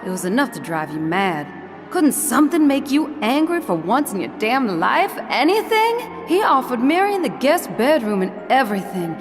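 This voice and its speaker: A woman's voice: a little high, big pitch swings, moderate pace. A woman playing a brash, murderous rural wife in an audio drama, with a blunt folksy drawl, fed up with her husband. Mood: exasperated, confessing. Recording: long reverb with loud music behind.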